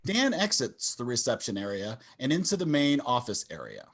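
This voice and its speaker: Animated male stage-direction narrator. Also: mid-range voice, normal speed. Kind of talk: scripted narration. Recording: online video call.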